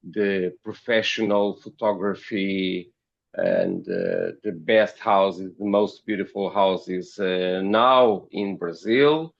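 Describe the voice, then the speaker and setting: A man with a Portuguese accent speaks slowly in a gravelly voice, hesitating and repeating words as he answers as an interview guest. The recording is dull and lo-fi. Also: sits low, expressive. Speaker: podcast guest, company founder.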